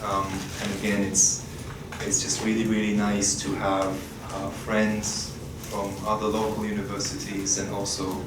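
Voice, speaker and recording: A man chairing a conference session, introducing a keynote speaker in a polite, halting way. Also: lowish voice, monotone. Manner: polite, halting with many pauses. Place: conference room. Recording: echoey room, distant mic, loud background noise.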